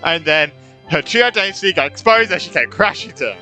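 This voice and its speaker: A woman with a low, bright voice that moves around a lot. She muses casually as a streamer reacting to a game, with music behind her. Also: expressive, a little fast. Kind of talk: casual gameplay reaction commentary.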